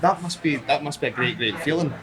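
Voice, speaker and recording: A man's voice: fairly high pitch, animated, a little fast. A man hosting a podcast, asking friendly, easygoing questions in a casual interview. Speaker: podcast host. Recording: crowd chatter behind.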